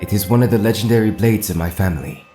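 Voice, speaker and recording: A man's voice: lowish voice, fast, music in the background, natural voice. An actor playing an honorable swordsman and devoted pupil, formal and earnest, in a fantasy audio drama.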